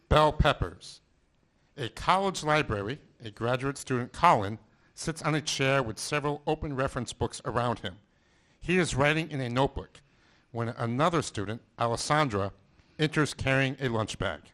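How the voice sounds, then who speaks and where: A man reads out the scene settings and actions between lines in a plain, matter-of-fact tone. His pitch sits in an ordinary middle range. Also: moderate pace, fairly bright tone. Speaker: narrator reading stage directions. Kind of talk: scripted narration.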